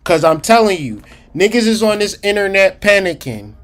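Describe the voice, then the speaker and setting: High-voiced man, streetwise livestream talk. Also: very animated, fairly bright tone. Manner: streetwise, slangy, addresses chat directly; emphatic, slows down to stress points. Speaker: livestream host. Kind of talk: casual livestream talk on psychology.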